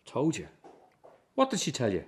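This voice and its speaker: An actor playing a middle-aged circus boss in a radio drama, defensive, bitter and short-tempered. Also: male voice, medium pitch, huge pitch swings, moderate pace. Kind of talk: naturalistic radio drama acting.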